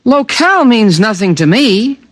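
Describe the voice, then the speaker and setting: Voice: mid-range voice, very animated, measured pace, fairly bright tone, dry recording. An actor in a 1950s radio drama playing an invisible alien protector who talks in a precise, solemn, insistent way. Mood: earnest.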